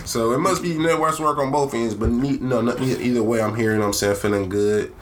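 A man's voice: mid-range voice, steady tone, fast. A young man co-hosting a casual podcast, nasal from a cold, rambling and swearing as he tells stories. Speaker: podcast co-host.

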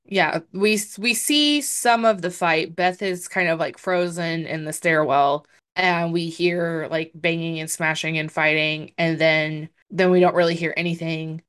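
Young adult woman, podcast co-host; lowish, deep voice for a woman, hedging and chatty. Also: a little slow, a bit bright, clear, resonant tone. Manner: chatty, hedging with many fillers. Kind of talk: casual chat.

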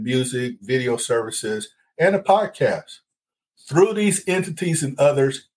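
A man's voice: mid-range voice, a little mellow, poor audio. A man hosting a parenting podcast, interviewing a guest in a friendly, familiar way. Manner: friendly, calls guest brother. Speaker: podcast host.

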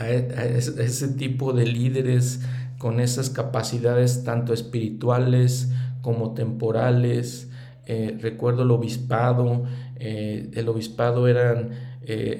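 A middle-aged man leads a thoughtful scripture lesson, earnest and unhurried, often circling back to restate a thought. His voice is warm and mellow. The recording sounds roomy, with noticeable echo around him. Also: mid-range voice. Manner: earnest, thinking aloud, with fillers and restarts. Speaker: scripture study teacher. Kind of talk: reflective religious lesson.

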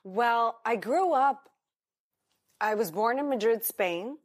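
A woman's voice: a little high, expressive. American woman guest in an interview, lots of ums and restarts, talks openly. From United States. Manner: candid, halting with fillers. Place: hotel in Singapore.

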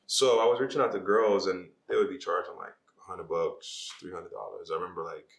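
A man's voice: low voice, steady tone, fairly quick, vocal fry. A man with a Jamaican accent chatting casually as a podcast guest, speaking in a loose, slangy way. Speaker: podcast guest, social media page creator. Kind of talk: casual interview chat. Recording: echoey room.

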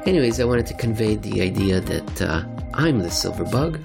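A man's voice: lowish voice, animated, moderate pace, gravelly, over music. A man hosting a podcast, reading in a wry, dramatic way that mixes theatrical comic narration with casual commentary. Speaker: podcast host and reader.

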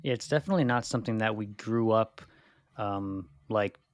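A man in his early thirties co-hosting a casual podcast, talking in a relaxed, thoughtful way. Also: fairly low pitch, normal speed, no room sound, clean studio sound. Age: 30s. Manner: relaxed, thoughtful, hedging with likes. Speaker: podcast co-host.

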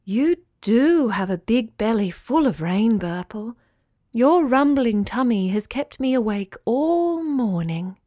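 Casting: a drowsy, beautiful lady cloud, soft and sighing, slow storybook read, voiced by a woman. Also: a little high, expressive, no room sound, clean studio sound. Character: sleepy, languid female cloud.

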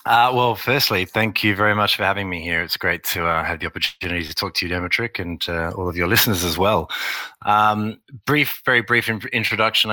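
A man's voice: fairly low pitch, no room sound. A man with an Australian accent, a marketing officer as a podcast guest, answering at length with many fillers. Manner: relaxed, rambling with many fillers.

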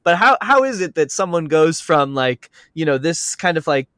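A man's voice: on the high side, sing-song, normal speed, fairly bright tone, clear, resonant tone, no room sound, clean studio sound. A man hosting a podcast, interviewing in a casual, conversational way.

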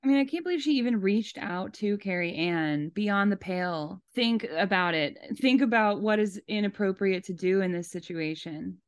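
A woman's voice: mid-range voice, animated, moderate pace, few pauses, clear, resonant tone, no echo. A woman hosting a podcast, giving casual, opinionated commentary in an emphatic, rambling way. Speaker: podcast host.

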